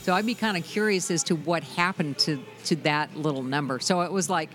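A middle-aged woman with a deep voice, a musician guest chatting loosely in an interview, full of restarts and "you know". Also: fairly quick, soft background music. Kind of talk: casual interview chat.

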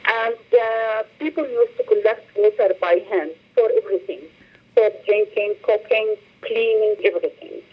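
A middle-aged woman from Lebanon, speaking English, gives a halting interview over the phone and often repeats words as she searches for phrasing. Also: medium pitch. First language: Arabic. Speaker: director of a humanitarian organization. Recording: muffled phone line.

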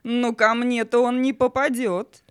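A woman's voice: on the high side, level tone, measured pace, clear, resonant tone, dry recording, studio quality, close to an everyday voice. A woman playing the imperious, mocking spirit of Night in a children's audio play, grand and menacing in manner.

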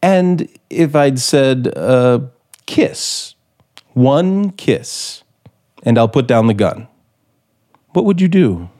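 An actor playing a brooding, manipulative scriptwriter in 1950s radio suspense style, probing deliberately with dramatic pauses. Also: male voice, medium pitch, animated, warm tone. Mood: intense, testing. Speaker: actor in the lead role. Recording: 1950s radio broadcast, music behind.

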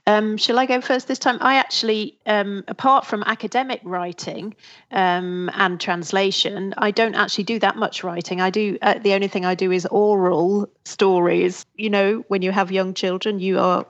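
A woman's voice: medium pitch, fairly even intonation, normal speed. A woman who translates books, chatting thoughtfully in an interview and laughing easily. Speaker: literary translator and academic. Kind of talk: conversational interview answers. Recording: dull lo-fi audio.

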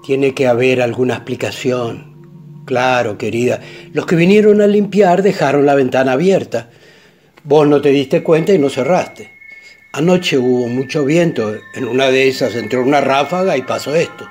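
An actor playing a calm, rational husband in a radio drama, soothing and measured, with a Paraguayan accent. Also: male voice, mid-range voice, soft background music, close to an everyday voice. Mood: reassuring.

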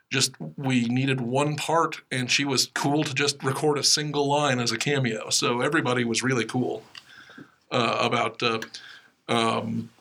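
A man's voice: mid-range voice, gravelly, pushed and bright. A man hosting a podcast in a casual, behind-the-scenes chat style. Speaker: podcast host.

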